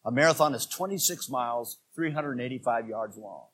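A man in his sixties preaching a sermon as pastor, swinging between quiet asides and loud, emphatic preaching. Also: medium pitch, fairly quick, slightly rough. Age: 60s.

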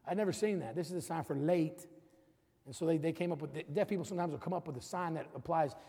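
A middle-aged male pastor preaching, his voice gravelly and somewhat husky. He pushes his points with rising emphasis. The sound rings with the long reverb of a church sanctuary. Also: on the high side, brisk, mellow. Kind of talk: sermon.

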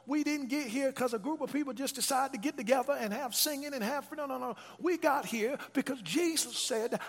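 An American man preaching a sermon as a pastor, emphatic and repetitive, urging the congregation to respond. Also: extremely high pitch. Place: large reverberant room, live preaching to an audience. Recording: husky voice in long hall reverb.

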